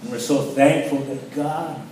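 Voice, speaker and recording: A pastor from the Philippines, excited and emotional, introducing a guest at a church launch. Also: male voice, medium pitch, unhurried, a little hiss or hum. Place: large church hall. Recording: echoey hall, distant mic.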